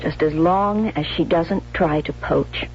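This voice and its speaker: A woman's voice: low-pitched, unhurried, a little mellow, slight background noise. Intense, jealous young American woman, muffled radio. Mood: angry, accusing. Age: young adult. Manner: intense, emphatic, theatrical. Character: jealous, resentful young bride-to-be, emotional younger sister. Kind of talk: 1970s radio drama acting, dialogue and confessional narration. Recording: muffled old radio broadcast, faint music.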